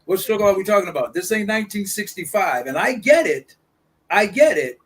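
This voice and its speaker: A man holds forth in an easygoing discussion. His voice sits high for a man. He circles back and repeats his key phrases with a preacher's rhythm. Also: animated, brisk, breathy edge. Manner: preachy, repeats points for emphasis. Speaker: guest speaker. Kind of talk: casual discussion.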